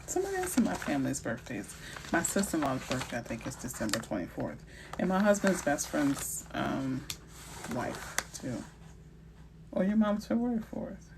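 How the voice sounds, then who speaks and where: Middle-aged woman, New Orleans accent, chatty live-stream host, relaxed slang, faint background hiss, casual phone mic. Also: deep voice, normal speed, gravelly. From New Orleans, Louisiana. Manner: chatty and playful, with slang and asides. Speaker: realtor hosting a live Q&A. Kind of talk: casual live-stream chat answering viewers.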